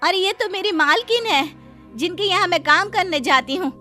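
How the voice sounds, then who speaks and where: A woman's voice: very high-pitched, animated, normal speed, pushed and bright, soft background music, pitched above the performer's own voice, heavily acted. A woman voicing a humble, deferential poor housemaid who pleads, in a cartoon moral story. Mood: concerned.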